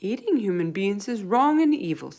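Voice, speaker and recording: A woman's voice: medium pitch, big pitch swings, normal speed, darkish tone, voice pushed up. A woman voicing a kindly, innocent male giant in a storybook, earnest and dignified, with quaint broken grammar. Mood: dignified disapproval. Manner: earnest, moralising, ungrammatical speech. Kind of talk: storybook read-aloud character voice.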